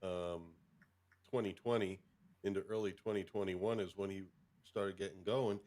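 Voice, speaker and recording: A man co-hosting a casual live stream, informal and a little halting as he talks. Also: low voice, steady tone, darkish tone.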